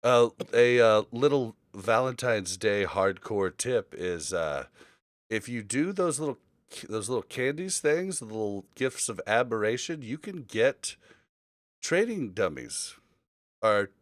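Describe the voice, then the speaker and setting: A man's voice: medium pitch, big pitch swings, measured pace, dry recording, studio quality. A man hosting a casual gaming podcast, talking in a rambling, informal way. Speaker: podcast host.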